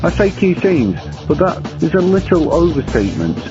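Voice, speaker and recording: A man's voice: a little high, very animated, moderate pace. A man presenting a scripted review segment in a wry, formal manner. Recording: dull, lo-fi radio-style sound. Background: prominent guitar music.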